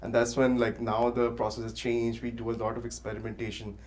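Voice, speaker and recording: A man talking casually in a halting way, with frequent filler words as he explains his thoughts. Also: mid-range voice, monotone, a little mellow. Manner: halting, filler-heavy, says "like" constantly. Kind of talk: casual discussion.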